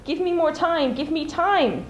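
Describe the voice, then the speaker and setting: Flustered little storybook hobbit fellow, played by a woman, high and urgent, in an echoing room. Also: lively intonation, unhurried, highs cut off. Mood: panicked. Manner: urgent exclamations when pressed. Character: small flustered male hobbit, polite but impatient. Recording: roomy, noisy amateur stage recording.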